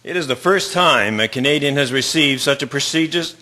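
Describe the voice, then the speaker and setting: A man's voice: mid-range voice, brisk, sharp tone, lightly acted. A man playing a caring, hearty wartime army colonel in a radio drama, speaking in formal, declamatory phrases. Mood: pleased, purposeful.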